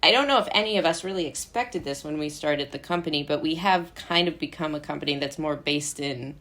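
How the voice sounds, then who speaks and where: A woman in her twenties with an American accent and a low-pitched voice. She talks casually and animatedly, like a guest telling stories. Her sentences ramble and are sprinkled with "like" and giggles. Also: a little fast, fairly bright tone. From Brooklyn, United States. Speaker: interview guest, playwright and actor. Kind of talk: casual chat.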